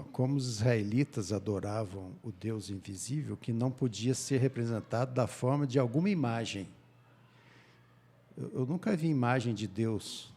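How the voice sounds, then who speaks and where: A middle-aged man with a Brazilian accent speaks in a dark, relaxed voice with heavy vocal fry. He explains scripture conversationally, posing rhetorical questions and answering them. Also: medium pitch. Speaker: Bible study panelist. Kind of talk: explanatory religious discussion.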